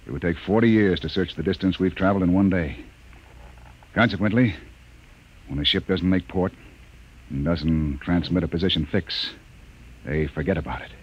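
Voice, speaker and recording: An actor playing a stern, harried spaceship captain in old-time radio science fiction, with clipped, commanding delivery. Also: male voice, sits low, normal speed, long pauses, lightly acted. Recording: dull, noisy old radio recording.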